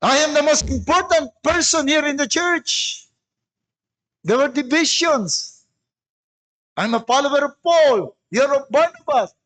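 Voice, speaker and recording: A Filipino-accented man delivers a sermon in a strikingly high-pitched voice. He speaks as a pastor, phrasing deliberately and emphatically, and puts rhetorical questions to his listeners. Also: expressive, a bit bright, low-quality recording. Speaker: pastor preaching. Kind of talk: sermon mixing English and Tagalog.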